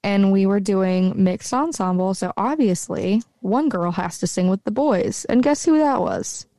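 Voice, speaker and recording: A woman with a low speaking voice, co-hosting a podcast in relaxed, chatty conversation on her own microphone at home. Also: measured pace, no echo, clean studio sound. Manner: relaxed, chatty, laughs easily. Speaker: podcast co-host. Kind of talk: casual chat. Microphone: own microphone with bass roll-off.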